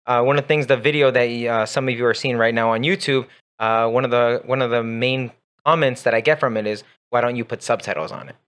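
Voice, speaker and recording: A man's voice: medium pitch, fairly even intonation, fast, hardly any pauses, fairly bright tone, clean tone. A man whose first language is Spanish, co-hosting a radio show and giving direct, conversational advice as an English teacher. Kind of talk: casual radio chat giving English-learning tips. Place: radio studio.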